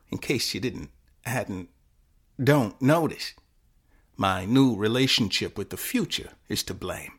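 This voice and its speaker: A man playing a confused, fatalistic teenage boy who narrates his own short story in halting, self-correcting fragments. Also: on the low side, husky, exaggerated voice. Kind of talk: first-person dramatic short-story performance.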